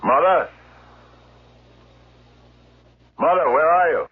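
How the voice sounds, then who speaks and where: An actor playing a brooding, unstable grown son in a 1970s radio drama, halting, theatrical and needling. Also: male voice, on the high side, husky. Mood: uneasy, needling. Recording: dull lo-fi old radio recording.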